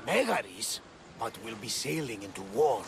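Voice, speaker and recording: An actor playing an older, bluff ship's captain in a video game, gravelly and hearty with a grandiose turn of speech. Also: male voice, medium pitch, very animated, slow, noisy background, full character voice. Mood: incredulous. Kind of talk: cinematic video game acting.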